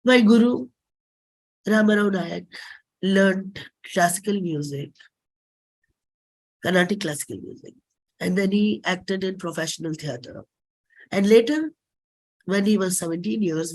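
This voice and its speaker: A woman with an Indian accent performs a dramatic reading of a personal stage script, speaking expressively in short, deliberate phrases with pauses. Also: on the low side, darkish tone, poor audio. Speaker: classical singer and stage performer.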